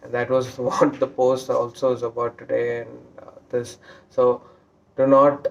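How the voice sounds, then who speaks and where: A man's voice: mid-range voice, flat delivery, measured pace, gravelly. A man with a Tamil accent vlogging casually to camera, speaking hesitantly with many fillers and restarts. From South India. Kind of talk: casual vlog talk to camera. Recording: roomy echo.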